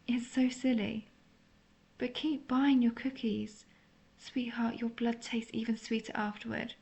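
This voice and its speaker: A woman voicing a tender, melancholy centuries-old vampire lover in an intimate audio roleplay, speaking softly and confessionally, at times nearly whispering. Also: on the high side, little pitch movement, unhurried, gravelly. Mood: fond, teasing. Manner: soft, confessional, partly whispered.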